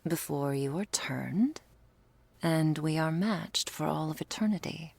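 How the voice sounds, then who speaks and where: A woman playing a stern but devoted vampire lover in an ASMR roleplay, speaking in a formal, measured, affectionate way. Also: low voice, vocal fry, darkish tone, husky, dry recording, exaggerated voice. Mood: earnest, devoted.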